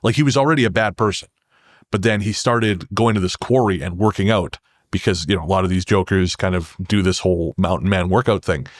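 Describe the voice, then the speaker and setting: A man chatting as a podcast guest about his writing, rephrasing mid-sentence in an earnest, halting way. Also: sits low, no echo, clean studio sound. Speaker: podcast guest, horror fiction writer. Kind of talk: casual podcast conversation, storytelling.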